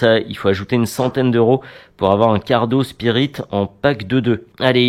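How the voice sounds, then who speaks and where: A man presenting a product review in a conversational, matter-of-fact, unscripted way. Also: medium pitch.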